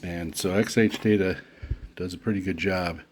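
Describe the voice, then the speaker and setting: Very deep-voiced man, halting delivery. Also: steady tone, slight background noise. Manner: halting, pauses often mid-phrase. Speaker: product reviewer. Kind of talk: unscripted unboxing commentary.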